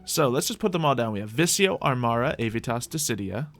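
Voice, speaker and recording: A man with a medium-pitched voice narrates his own gameplay close to the mic. He thinks aloud in light roleplay, slipping into formal, old-fashioned fantasy phrasing. Faint music plays behind him. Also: animated, fast talker, fairly bright tone. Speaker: host of a gaming series.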